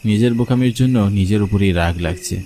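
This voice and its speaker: A man's voice: on the low side, brisk, warm tone, a little hiss or hum. A man narrating a scripted horror story in the first person, as a storyteller.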